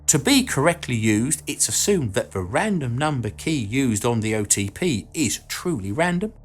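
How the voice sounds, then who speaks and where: A man's voice: mid-range voice, big pitch swings, moderate pace. Man narrating an explainer over music. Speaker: documentary channel narrator and host. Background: background music.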